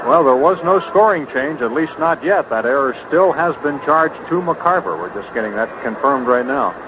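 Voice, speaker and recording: American baseball announcer, muffled vintage broadcast. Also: male voice, mid-range voice, animated, normal speed, noisy background. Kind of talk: live sports commentary. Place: stadium broadcast booth.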